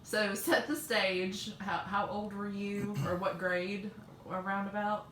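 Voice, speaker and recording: A woman's voice: medium pitch, fairly even intonation, slow, a bit bright. A woman hosting a casual chat, prompting a storyteller with questions and short interjections. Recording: roomy echo with background noise.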